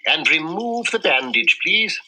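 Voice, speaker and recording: A man voicing a polite, brave storybook mouse dentist, courteous and emphatic, pitched up high. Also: sing-song, unhurried, bright, forceful tone, slightly rough, exaggerated voice, poor audio. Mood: professional, reassuring. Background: music.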